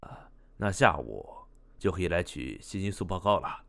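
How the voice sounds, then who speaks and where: An actor playing a male scientist doctor in a modern audio drama, explanatory and quietly knowing. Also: sits low, sing-song, normal speed, creaky, heavily acted.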